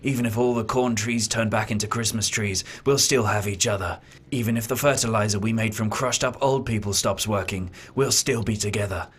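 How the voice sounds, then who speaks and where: Man playing a husky, low, earnest farmer. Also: fairly even intonation, fairly quick, a bit bright. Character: earnest, weary middle-aged farmer and husband. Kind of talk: parody radio soap acting.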